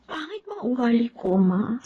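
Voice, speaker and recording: Earnest young woman, radio drama, fairly high pitch, lively intonation, remorseful. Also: moderate pace, husky, phone-quality sound. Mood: hurt, self-doubting. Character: earnest, remorseful young woman named Anika, reforming herself. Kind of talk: radio drama dialogue and reflective narration.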